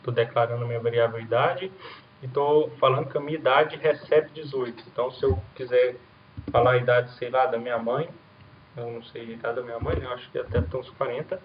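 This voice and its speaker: A man's voice: mid-range voice, fairly even intonation, a little fast. A man in the middle of his range teaches beginner programming in an easy, conversational way, and he keeps checking in with his students as he walks through the code. His voice comes across roomy and a little dull, with a faint hiss underneath. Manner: casual explanatory, frequent "né?" and "certo?" checks. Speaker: programming instructor. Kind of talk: recorded coding lesson with screen demo.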